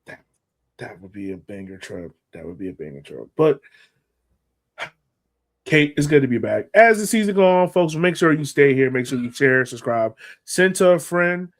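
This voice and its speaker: A man's voice: medium pitch, sing-song, normal speed. A man hosting a casual soccer podcast, chatting in a slangy, informal way. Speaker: podcast host.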